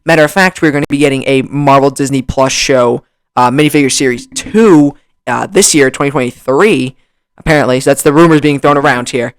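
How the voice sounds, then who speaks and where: A man hosting a casual vlog, talking excitedly with lots of restarts, his voice slightly hoarse from long recording, close on a desk mic. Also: mid-range voice, a little fast, no echo. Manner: excitable, rambling with many restarts. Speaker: YouTuber. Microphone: desk mic, bumped at times.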